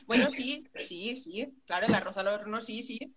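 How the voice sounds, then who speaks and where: A young woman from Valencia, a student guest on a radio interview, giving earnest answers and quick agreeing replies, recorded on a studio microphone. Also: fairly high pitch, crowd noise. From Valencia, Spain. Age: young adult.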